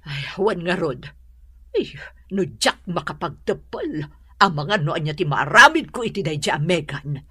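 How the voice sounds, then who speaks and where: A woman with an Ilocano accent acting in a radio drama as a harsh, complaining older mother-in-law, husky and scolding. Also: on the low side, lively intonation, moderate pace, bright, forceful tone, no room sound, clean studio sound, full character voice. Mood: muttering threats. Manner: scolding, indignant.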